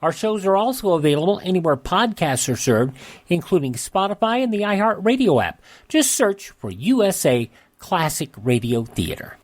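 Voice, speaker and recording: A man's voice: high-pitched, very animated, moderate pace. Casting: male radio host, casual and folksy, chatting straight to listeners.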